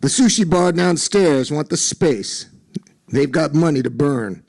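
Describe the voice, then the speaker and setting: A man reading the part of a weary, resigned male ramen chef in a story, in terse, gruff phrases. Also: on the high side, animated, a little mellow, full character voice. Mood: defeated. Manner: terse, grunted, slow phrases.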